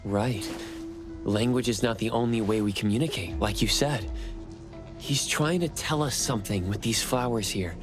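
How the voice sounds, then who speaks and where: A man's voice: on the low side, moderate pace, music in the background, natural voice. An actor playing an earnest, perceptive young male hero in a video game. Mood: thoughtful. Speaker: main character. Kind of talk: English video game voice acting.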